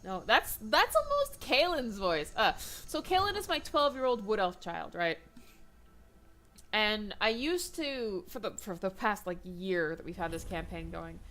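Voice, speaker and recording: A young woman gaming vlogger chats while she plays, tired yet excitable and quick to poke fun at herself. Her voice is bright and forward. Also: medium pitch. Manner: excitable, chatty, self-deprecating. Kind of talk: casual let's-play chat.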